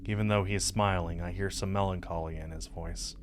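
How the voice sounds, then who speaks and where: A man's voice: low voice, fairly even intonation, a little fast, slight background noise. A man in his thirties reading visual novel narration aloud as a streamer, close to the mic. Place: indoor streaming setup. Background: game music.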